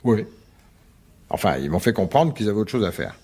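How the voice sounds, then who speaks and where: Placating, skeptical husband. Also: male voice, lowish voice, big pitch swings, moderate pace, mellow, husky, heavily acted. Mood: placating, evasive. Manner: soothing, colloquial, reasoning. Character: placating, skeptical husband, evasive and mildly exasperated. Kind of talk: French radio drama acting.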